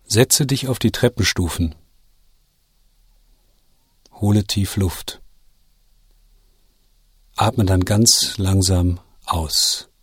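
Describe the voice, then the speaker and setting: A man narrates as a guide, addressing the listener with deliberate instructions. His voice is fairly low and rough-grained, and the delivery is very slow. The sound is close and dry. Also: dry recording. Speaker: audio walk narrator and guide.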